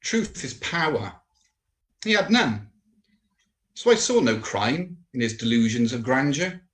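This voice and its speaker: A man's voice: mid-range voice, sing-song, measured pace, a bit bright. A man playing a contemptuous, haunted Roman official in a dramatic monologue, speaking with emphatic, rhetorical scorn. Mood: scornful, proud. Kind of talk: dramatic monologue in a church service.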